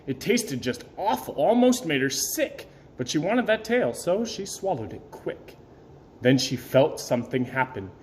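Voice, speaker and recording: Animated man reading a children's story aloud. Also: a little high, slight background noise. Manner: theatrical, dramatic emphasis and pauses. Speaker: storybook reader. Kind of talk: expressive scripted children's read-aloud.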